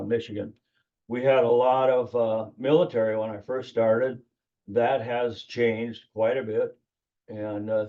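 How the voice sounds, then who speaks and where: An American man in his seventies recalling his life informally in a plainspoken, meandering way as an interview guest. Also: mid-range voice. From United States. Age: 70s. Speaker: interview guest, retired air traffic controller. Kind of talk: informal personal recollection. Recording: dull, muffled lo-fi recording.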